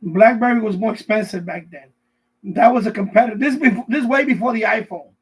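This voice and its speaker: A middle-aged man hosting a casual live stream, rambling and often repeating himself, with a husky voice. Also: sits high, fairly quick, rough recording. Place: basement.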